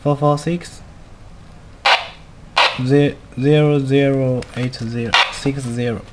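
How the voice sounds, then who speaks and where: Halting non-native man demonstrating over noise. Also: mid-range voice, fairly even intonation. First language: not a native English speaker. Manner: halting, simple clipped phrasing. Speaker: product demonstrator. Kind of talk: step-by-step spoken product demonstration. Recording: clearly noisy amateur recording.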